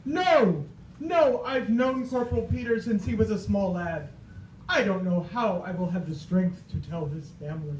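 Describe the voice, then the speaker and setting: A man playing a high-voiced heroic general, echoey stage. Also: moderate pace, darkish tone, natural voice. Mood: anguished. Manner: declamatory, heroic, grandly earnest. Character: General George Washington, brave solemn commander in a storm. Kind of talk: old-time radio drama parody on stage. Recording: distant, echoey live stage with noise.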